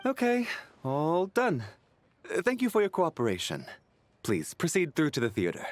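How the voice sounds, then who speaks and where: A man's voice: a little high, wildly swooping pitch, moderate pace. An actor playing a polite male security guard in a video game, speaking in a formal, procedural manner. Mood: polite, official. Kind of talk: video game character dialogue.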